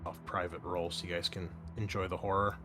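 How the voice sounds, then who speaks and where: A man's voice: low-pitched, flat delivery, brisk, over music. A man working as game master, narrating a tabletop game and explaining its rules, with casual hesitant asides.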